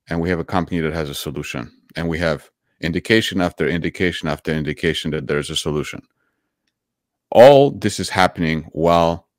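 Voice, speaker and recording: Emphatic low-voiced man on a panel. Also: no room sound, clean studio sound. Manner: emphatic, persuasive, repeats for effect. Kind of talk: panel discussion. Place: home room, video call. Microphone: headset.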